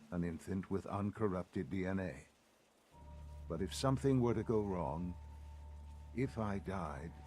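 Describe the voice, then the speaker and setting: Deep-voiced, measured elderly leader. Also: male voice, dark tone, a little hiss or hum. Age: older. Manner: deliberate, formal, reflective, with weighty pauses. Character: elderly, measured, coldly cerebral male leader of secretive institute. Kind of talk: dramatic video game dialogue.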